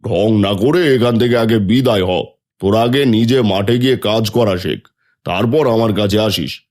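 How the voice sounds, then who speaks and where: A man playing a stern, scornful but fair village headman in an animated story, scolding and giving orders. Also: fairly low pitch, normal speed, close to an everyday voice. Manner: scolding, commanding.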